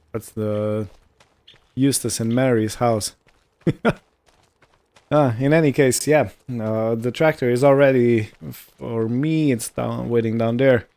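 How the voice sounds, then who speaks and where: A man's voice: mid-range voice, steady tone, slow pace, long pauses, mellow, clean tone. A man giving casual roleplay gameplay commentary, musing aloud as he narrates what he is doing, with faint vehicle and ambient sound behind him. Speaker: gaming video host.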